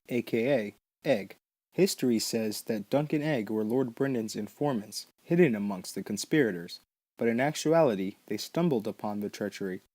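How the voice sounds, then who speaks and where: A man's voice: medium pitch, mellow, no room sound. A man narrating a scripted explainer as the host of a lore series.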